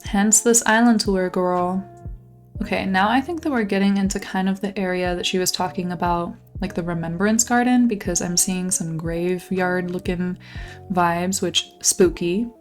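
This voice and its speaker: A woman's voice: fairly low pitch, fairly even intonation, normal speed, soft background music. A young woman giving casual, gushing commentary while she tours a game island, her voice a little worn from losing it lately. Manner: bubbly, gushing, exclamatory. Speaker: channel host touring a game island.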